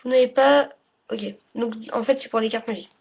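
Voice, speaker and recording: A woman giving a casual, unscripted tutorial as presenter, halting and often correcting herself or trailing off mid-sentence. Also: a little high, fairly even intonation, gravelly. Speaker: presenter of a card game tutorial. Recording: dull lo-fi audio, faint noise.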